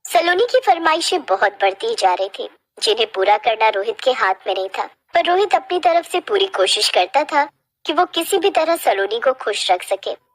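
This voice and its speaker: High-pitched woman narrating a story. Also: sing-song, moderate pace. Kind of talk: scripted moral-story narration.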